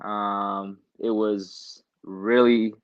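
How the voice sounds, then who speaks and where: Young southern california guy, low and flat, lots of ums, sounds dry and kinda muffled. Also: extremely slow, smooth, no echo. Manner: relaxed, hesitant, many ums and restarts. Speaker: guest, professional soccer player. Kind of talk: casual interview answers.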